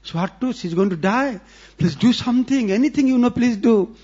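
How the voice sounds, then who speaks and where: A man's voice: extremely high pitch, moderate pace, darkish tone, voice pushed up. A man voicing a worried, pleading old mother-in-law, on a dull lo-fi recording. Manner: pleading, self-abasing.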